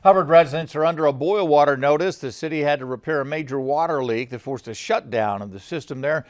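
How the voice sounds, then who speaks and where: A man's voice: fairly high pitch, fast. A man reading scripted television news as an anchor in a studio.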